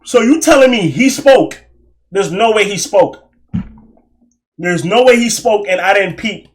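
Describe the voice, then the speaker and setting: A young man streaming, reacting loudly with laughs and profane slang. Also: high voice, animated, measured pace, low-quality recording. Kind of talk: loud reaction commentary.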